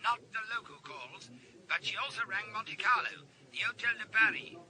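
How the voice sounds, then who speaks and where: Man as high-voiced, eager junior detective. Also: moderate pace, lightly acted. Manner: crisp, dutiful reporting. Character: eager, deferential male police detective. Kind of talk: British radio drama acting. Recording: distant voice amid crowd noise.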